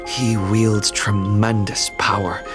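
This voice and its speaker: A man playing a young hero who soberly recounts his adventure in a measured, scripted game-story narration. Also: on the low side, level tone, over music. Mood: solemn, measured.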